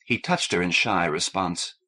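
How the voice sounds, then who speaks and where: A man's voice: deep voice, moderate pace, pushed and bright. A man reading a novel aloud as scripted audiobook narration.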